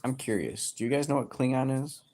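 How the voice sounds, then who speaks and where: A man in his early thirties livestreaming, reading chat aloud and joking crudely, with sudden loud outbursts. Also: medium pitch, brisk. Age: 30s.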